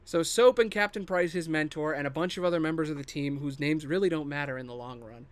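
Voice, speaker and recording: A man with a fairly high voice talks through ideas alone as a podcast host. His delivery is casual and unscripted, thinking out loud, cutting himself off and swearing freely as he goes. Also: fast. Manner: rambling, thinking aloud, self-interrupting; casually profane. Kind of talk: casual improvised solo monologue pitching film adaptations.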